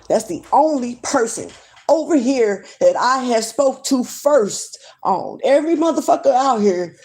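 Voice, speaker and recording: A woman's voice: fairly high pitch, a little mellow. A woman, ranting bluntly and swearing freely in a casual livestream. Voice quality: strong voice. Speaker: livestream vlogger. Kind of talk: casual rant to camera.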